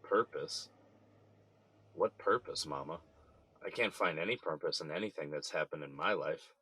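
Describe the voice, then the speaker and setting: A man's voice: extremely low pitch, normal speed, pushed and bright, slightly rough, dry recording, heavily acted. A man playing a weary, embattled middle-aged son in an audio drama, downcast, frustrated and bitter.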